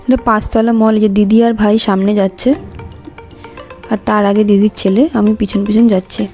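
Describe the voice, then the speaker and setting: A woman's voice: mid-range voice, level tone, a little fast, dark tone, soft background music. A woman vlogger, giving a casual voice-over narration in short phrases.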